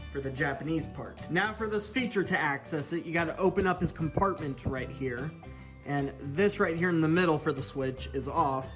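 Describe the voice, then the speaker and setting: A man giving a casual hands-on toy review as the host, sharing opinions in a hesitant, meandering way. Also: fairly high pitch. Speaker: toy collector reviewer hosting an unboxing video. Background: background music.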